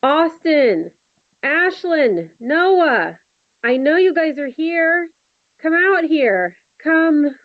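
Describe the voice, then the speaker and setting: A woman's voice: high-pitched, animated, very slow, no echo, dull audio, exaggerated voice. A woman playing a frantic young horror victim in a script table read, calling out urgently. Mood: urgent, searching.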